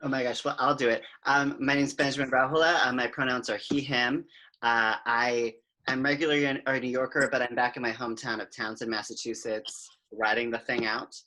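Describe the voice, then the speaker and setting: A man's voice: mid-range voice, level tone, fairly quick, pushed and bright, low-quality recording. A man speaking eagerly and in a friendly way as a panel guest on a video call.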